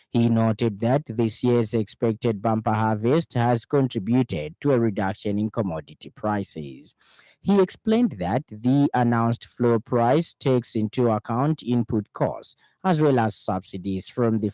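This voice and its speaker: A man with a Zambian accent reading a scripted radio news bulletin in a formal, measured way. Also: fairly low pitch, dark tone, no echo.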